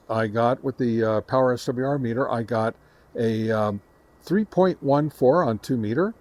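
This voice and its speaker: A man hosting a casual product review, speaking haltingly to his viewers and repeating words for emphasis. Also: mid-range voice, darkish tone. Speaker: host reviewing radio antennas.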